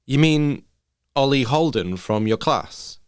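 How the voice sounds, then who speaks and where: A man's voice: mid-range voice, expressive, takes it slowly, clear, resonant tone, no echo, studio quality, pitched above the performer's own voice. A man voicing an exasperated, bewildered woman, a parent who questions insistently, in a story reading.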